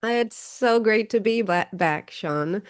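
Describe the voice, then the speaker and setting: A woman's voice: medium pitch, a little slow. A middle-aged woman who sounds stuffy from a cold, talking eagerly as a guest in a casual interview. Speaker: guest author and coach.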